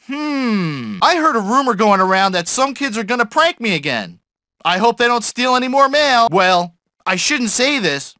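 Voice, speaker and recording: Goofy whiny mailman dude for a game, bright tense voice, super dry sound, folksy and full of himself. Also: high voice, no echo, heavily acted. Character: excitable, self-important, gossipy male mailman with goofy bluster. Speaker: video game voice actor. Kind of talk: video game character barks and chatter.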